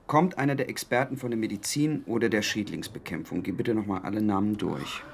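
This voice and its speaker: A man's voice: fairly low pitch, a little fast, gravelly, a little hiss or hum. An actor playing an eager young male journalist in a radio drama, questioning methodically.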